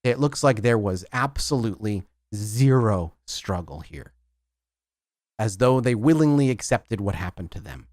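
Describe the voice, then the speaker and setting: Lively male game master, dry close sound. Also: lowish voice, unhurried, dry recording. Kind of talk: narrating a tabletop roleplaying game.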